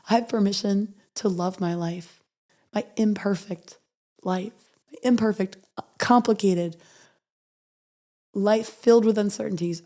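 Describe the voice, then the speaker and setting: Hoarse, earnest woman podcast host. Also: mid-range voice. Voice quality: raspy. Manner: earnest, reading affirmations softly and slowly. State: hoarse from recovering after illness. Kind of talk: motivational podcast monologue.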